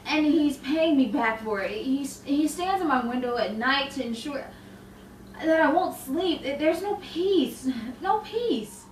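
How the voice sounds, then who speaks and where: An actress playing a tormented, guilt-ridden, sleepless woman in a halting, anguished melodramatic style. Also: high voice, animated. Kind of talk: 1950s-style romantic radio drama acting. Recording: roomy, distant, faintly noisy stage sound.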